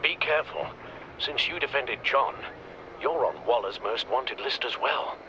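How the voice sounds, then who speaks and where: A man playing a cautious, concerned adviser to a crime fighter in a video game, speaking in measured warnings. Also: mid-range voice, animated, background noise, natural voice.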